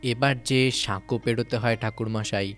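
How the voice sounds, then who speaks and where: A man's voice: mid-range voice, fairly even intonation, normal speed, fairly bright tone, faint music behind, close to an everyday voice. A man playing a rugged bandit guide in an audio story, addressing someone deferentially while giving firm instructions. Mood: polite, firm. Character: rugged male bandit guide, politely firm toward a priest. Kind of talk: audio story dramatic dialogue in Bengali.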